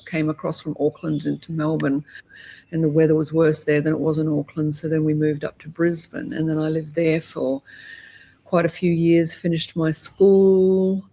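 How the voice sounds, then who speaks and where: A woman's voice: sits low, flat delivery, moderate pace, warm tone. A middle-aged woman from New Zealand, a podcast guest telling her story in a chatty way, laughing easily. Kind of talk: casual interview talk.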